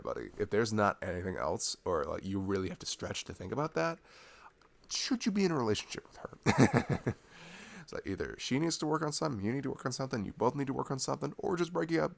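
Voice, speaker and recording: A man's voice: lowish voice, vocal fry. A man in an intimate ASMR boyfriend chat, rambling in a slangy, jokey way. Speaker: ASMR boyfriend roleplay performer.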